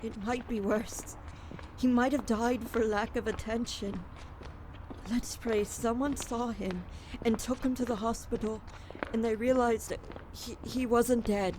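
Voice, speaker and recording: Radio drama lead: guilt-ridden young petty thief female performer, raised pitch, unhurried, brooding narration. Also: steady tone, darkish tone, slight background noise, lightly acted, rough recording. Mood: anguished. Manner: brooding, dramatic first-person confession.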